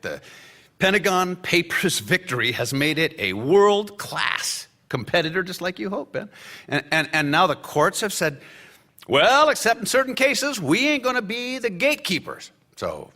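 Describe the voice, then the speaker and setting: Sarcastic party-crasher insider, swoops up and down, laughs between jabs. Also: male voice, high-pitched, sing-song, moderate pace, pushed and bright, big-room sound, full character voice. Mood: needling. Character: brash boozy male government insider at a party. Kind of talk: radio play acting.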